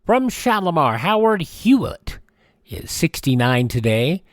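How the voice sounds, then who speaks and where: A man's voice: a little high, big pitch swings, measured pace, over loud music. A man hosting a radio program in a casual, chatty style, rambling a little and correcting himself as he goes. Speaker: radio show host. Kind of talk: casual radio host chat reading celebrity birthdays and introducing old radio shows.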